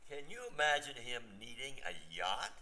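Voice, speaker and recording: An older man playing a scheming, hopeful nephew in a staged reading, halting and deliberate. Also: mid-range voice, lively intonation, pushed and bright. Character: scheming, hopeful nephew John, gossiping about uncle.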